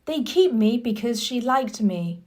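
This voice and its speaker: A woman's voice: fairly high pitch, lively intonation, unhurried, darkish tone. A woman reading a children's storybook, voicing a blunt but loyal, tender-hearted old gardener with emphatic delivery. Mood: fond, loyal.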